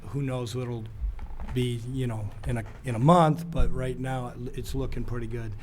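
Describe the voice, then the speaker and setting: A man with a cold and a raspy voice, presenting as an architect at a school board meeting. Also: medium pitch, darkish tone, a little hiss or hum. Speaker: design architect. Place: meeting room.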